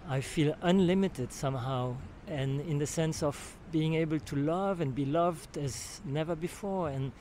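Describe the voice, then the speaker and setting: A man's voice: on the high side, a little slow, few pauses, a little mellow, a little hiss or hum. An older man from Switzerland with a French accent gives a spoken testimonial outdoors at a beach camp, speaking into a handheld recorder and often searching for words and restarting. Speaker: retreat participant giving a testimonial. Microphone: handheld recorder held near the mouth.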